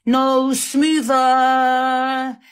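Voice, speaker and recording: A woman on a live stream shouting to hype viewers for gifts, loud and rowdy. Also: high-pitched, monotone, extremely slow, smooth. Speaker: livestreamer.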